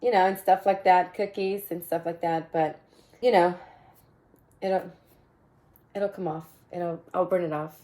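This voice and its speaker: A woman with a raspy voice hosting a casual solo podcast, upbeat and chatty, often repeating herself and laughing. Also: on the low side, fairly even intonation, unhurried, pauses often, mellow. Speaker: podcast host.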